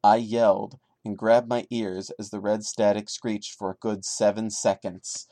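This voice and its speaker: A man's voice: on the low side, flat delivery, a little slow, dark tone. A man giving a dramatic reading of a horror story as a podcast host, breaking into exasperated, sarcastic comic commentary. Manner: sarcastic, stammering asides between solemn narrated passages.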